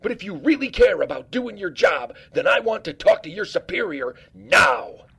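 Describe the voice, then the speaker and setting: A man's voice: high voice, very animated, normal speed, vocal fry, fairly bright tone, slightly rough, heavily acted. An actor playing a determined male Starfleet acting captain, with firm, clipped officer's speech. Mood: commanding. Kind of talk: fan audio drama acting.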